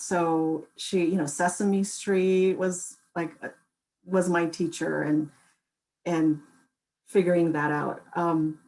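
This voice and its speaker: A woman's voice: fairly low pitch, darkish tone, low-quality recording. A woman panelist speaking in a reflective, conversational way. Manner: reflective, conversational, occasional laughs. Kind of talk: casual panel talk.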